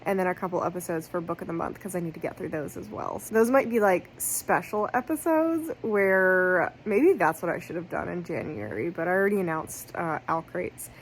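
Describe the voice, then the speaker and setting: A woman's voice: lowish voice, expressive, fairly quick, hardly any pauses. Woman, 30s, book vlogger; rambling casual chat, worn out and stuffy-nosed, faint noise behind. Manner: rambling, self-correcting, fillers like "like". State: tired and congested. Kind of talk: casual chatty vlog. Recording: faint background noise, lo-fi phone clip.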